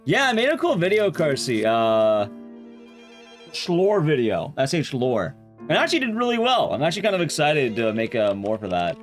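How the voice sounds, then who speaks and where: A man's voice: on the high side, wildly swooping pitch, normal speed, soft background music. A man livestreaming a game, chatting casually and addressing his viewers as he plays. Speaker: livestreamer and speedrunner. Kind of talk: casual livestream chat while playing a game.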